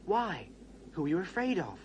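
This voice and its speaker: A man's voice: high voice, very animated, normal speed, dull audio, slight background noise. A male actor playing an earnest, polite, brave teenage elder brother in a children's fantasy drama. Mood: earnest, questioning. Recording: music behind the voice.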